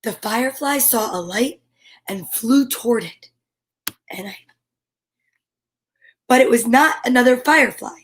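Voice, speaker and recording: Slow, high-voiced woman reading a storybook. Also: a little hiss or hum. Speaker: storyteller and host. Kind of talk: read-aloud children's picture book storytime with chatty asides.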